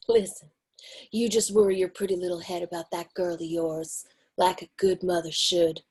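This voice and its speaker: Woman playing a husky, bossy saloon owner. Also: fairly low pitch, flat delivery, moderate pace, a little mellow. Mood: angry. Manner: scolding, commanding. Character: stern 55-year-old woman saloon co-owner, bossy and suspicious. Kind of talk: screenplay table read.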